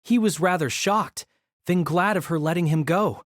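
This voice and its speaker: A man narrating an audio drama in an expressive storytelling manner. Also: on the high side, normal speed, no room sound, studio quality.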